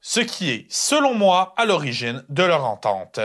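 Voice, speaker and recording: A man's voice: on the high side, very animated, a little slow, a bit bright. A man narrating a scripted analysis in a conversational way, as the host of a video essay.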